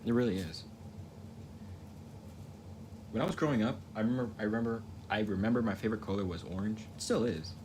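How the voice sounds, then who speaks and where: A young man with a very deep, low voice talks casually while painting, with a stutter. He rambles and reflects, repeating words and circling back to restart his thoughts. Steady background noise runs under the recording. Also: brisk, long pauses. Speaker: livestreaming painter. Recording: noticeable ambient noise.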